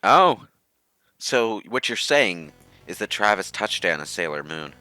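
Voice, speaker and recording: A man's voice: lowish voice, sing-song, a little slow, pushed and bright, soft background music, low-quality recording. A man casually commentating on a game with friends. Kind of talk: casual group banter commentary.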